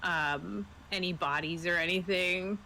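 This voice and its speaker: A woman's voice: lowish voice, measured pace, very noisy. A woman playing in a tabletop role-playing game, talking casually with the other players. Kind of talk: casual chat over an online game.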